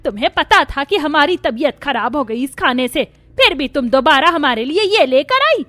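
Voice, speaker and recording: Woman acting a bossy high-voiced mother-in-law. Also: big pitch swings, moderate pace, bright, forceful tone, full character voice. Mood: indignant scolding. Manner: scolding, commanding. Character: bossy, demanding older Indian mother-in-law. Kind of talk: Hindi cartoon story acting.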